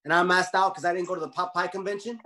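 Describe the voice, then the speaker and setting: An actor playing a blunt, streetwise young taco cook who talks in slang, in a table read. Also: male voice, sits high, fairly even intonation, racing, sharp tone. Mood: indignant. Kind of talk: casual dramatic table read. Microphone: video call.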